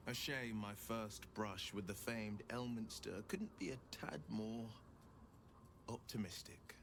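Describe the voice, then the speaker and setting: A man playing a loyal, earnest, gallant hero with measured speech in a video game. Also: on the low side. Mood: earnest, disapproving. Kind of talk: video game character acting. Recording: clear ambient noise behind the voice.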